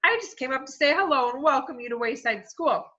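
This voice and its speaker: A giggly, friendly lady teacher from a storybook, as a woman reader puts her on, sweet and flirty. The voice is raised and bright, and the recording is muffled and lo-fi. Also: high voice, expressive, brisk, voice pushed up. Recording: dull lo-fi recording.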